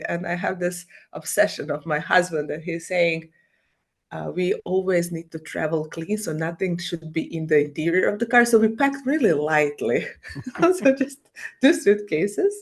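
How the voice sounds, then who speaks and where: A woman from Croatia speaking as a podcast guest, animated and full of fillers and restarts. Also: fairly low pitch. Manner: animated, halting non-native English with many fillers. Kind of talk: casual interview.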